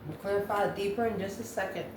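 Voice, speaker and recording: A woman reads tarot cards for her audience, thinking aloud in short, halting phrases. Her mid-pitched voice comes from a little way off the mic in a roomy, echoing space. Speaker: tarot reader, host. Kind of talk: intuitive tarot card reading, talking to viewers. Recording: roomy echo, distant mic, background noise.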